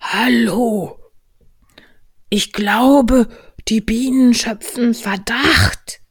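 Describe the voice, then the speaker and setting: Casting: woman as a male toy bear for a storybook, high-voiced, naive, ponderous and earnest, close dry sound. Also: drawn-out words, slightly rough, no echo, full character voice. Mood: conspiratorial. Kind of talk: storybook character voice.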